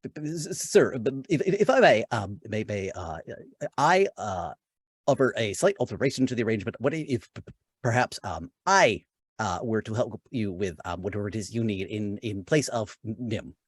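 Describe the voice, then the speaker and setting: A man's voice: on the low side, lively intonation, moderate pace, slightly rough, no echo. A man voicing a timid, polite, nervous character who stammers and hesitates in a tabletop game. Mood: nervous, pleading. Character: timid, polite, nervous man offering himself in another's place.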